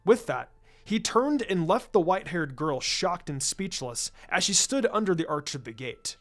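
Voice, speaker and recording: A woman's voice: fairly low pitch, sing-song, moderate pace. A woman reading a story aloud in a scripted narration style. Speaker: fan fiction reader. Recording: background music under the voice. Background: music.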